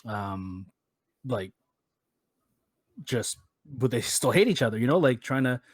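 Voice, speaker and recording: Man, podcast co-host, halting and hesitant, dry close sound, middle pitch. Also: expressive, no echo. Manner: hesitant, many fillers. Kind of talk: casual chat.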